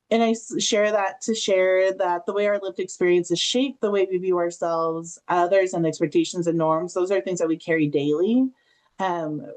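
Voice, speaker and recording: An American woman presenting an informal online training, speaking in a halting, reflective, conversational way with personal anecdotes. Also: fairly low pitch, a little mellow, poor audio. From Southern California, United States. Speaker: family engagement specialist presenting a training session.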